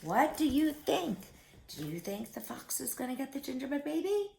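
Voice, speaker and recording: A woman's voice: high voice, big pitch swings, normal speed, creaky, a little mellow, a little hiss or hum. A woman reading a picture book aloud to young children as a story-time host, theatrical and often putting questions to her listeners.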